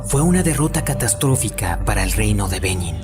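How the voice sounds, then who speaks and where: A man's voice: fairly low pitch, very animated, a little fast. A man with a Latin American Spanish accent reading scripted documentary narration as an amateur voice-over. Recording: music behind the voice. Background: background music.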